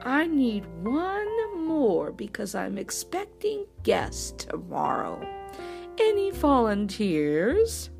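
A sly, hungry fox from a children's storybook, played by a woman. The voice is high and theatrical, stretching words out and swooping widely. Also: huge pitch swings, takes it slowly, gravelly, music in the background, heavily acted. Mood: smug. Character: sly, smug, hungry fox who ends up whining in pain.

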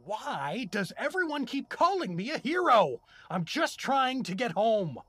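An actor as an exasperated, husky everyman hero. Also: very high voice, sing-song, unhurried, fairly bright tone, no echo, heavily acted. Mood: indignant. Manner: indignant, blustering, incredulous. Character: exasperated everyman named Doug, reluctant hero trapped in fantasy world. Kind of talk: comedic fantasy audio drama acting.

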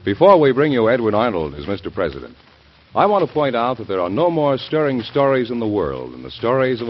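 A male old-time radio announcer with a low voice reads his script earnestly. His pitch moves widely for emphasis, and the recording is dull and muffled. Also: slight background noise.

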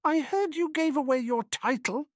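Man putting on a haughty, high-pitched aristocratic woman. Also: moderate pace, dry recording, studio quality. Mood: icy. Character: haughty, icy aristocratic witch, a mother.

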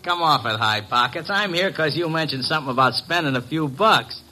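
An actor with an American accent playing a cheeky, money-minded young elevator operator in an old radio drama, slangy and cocky. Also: male voice, fairly high pitch, lively intonation, a little fast, bright, forceful tone, full character voice.

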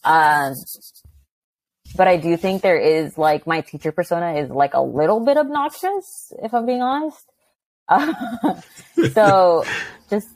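A young adult woman, a high school teacher, chatting animatedly as a guest on an interview podcast. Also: measured pace, long pauses, no echo. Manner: animated and chatty, acting out quoted exchanges. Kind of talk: casual interview conversation.

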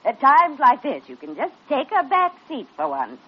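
High, swooping, huffy nurse. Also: female voice, wildly swooping pitch, moderate pace. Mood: smug, huffy. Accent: American. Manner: huffy, exclaiming, scolding. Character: flustered, indignant, sassy female hospital nurse. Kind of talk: 1940s radio comedy-drama acting. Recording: dull old radio recording.

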